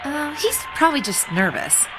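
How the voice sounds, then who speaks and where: An actress playing a keen, brisk doctor's assistant in an audio drama. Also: female voice, high-pitched, very animated, over loud music, low-quality recording.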